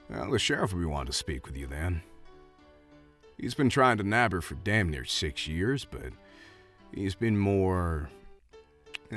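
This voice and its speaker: A man's voice: low voice, heavily acted. A man playing a friendly, chatty Old West saloon bartender with a hesitant, folksy way of talking. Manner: hesitant, folksy, soft-hearted. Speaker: voice actor. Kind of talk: audio roleplay acting addressed to the listener.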